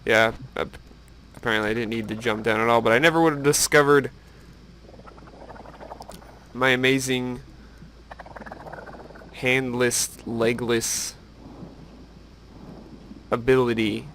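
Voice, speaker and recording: A man's voice: mid-range voice, fairly even intonation, slow pace, long pauses, fairly bright tone, a little hiss or hum, poor audio. A man giving casual, rambling game commentary with musing, wry asides to the viewer. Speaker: gameplay video host.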